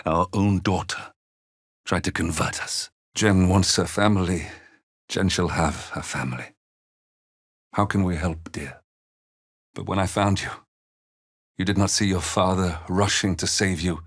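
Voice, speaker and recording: A man playing a gentle, weary older father in a fantasy video game, speaking in measured, earnest, formal phrases. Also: low-pitched, full character voice. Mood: sorrowful. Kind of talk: dramatic fantasy game dialogue.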